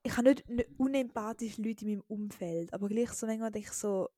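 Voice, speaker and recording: A woman's voice: medium pitch, a little fast, mellow, no echo, clean studio sound. A young woman in her twenties with an Eastern Swiss German accent, chatting casually as a podcast co-host, giggly and full of fillers. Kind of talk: casual chat.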